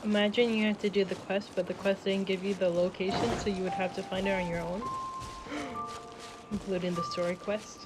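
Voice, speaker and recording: A young woman streams a video game with casual running commentary. Her voice is medium in pitch and conversational. Loud game music plays behind her. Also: flat delivery, moderate pace. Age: young adult.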